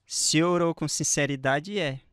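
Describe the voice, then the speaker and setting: An assured Brazilian male evangelist for a radio drama. His voice is on the high side and very dry. He delivers scripture with solemn, measured conviction. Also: a bit bright, clear, resonant tone, dry recording.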